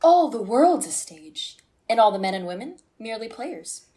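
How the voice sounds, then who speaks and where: A woman's voice: fairly high pitch, sing-song, moderate pace, dark tone. A woman playing a cynical, melancholy philosopher lord in a Shakespearean radio play, with theatrical verse delivery. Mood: wry, reflective.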